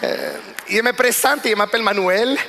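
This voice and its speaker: A man voicing a polite Colombian newcomer who speaks slowly and haltingly, with a deadpan manner, in a stand-up act. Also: sits high, creaky, noisy background. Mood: polite, hesitant.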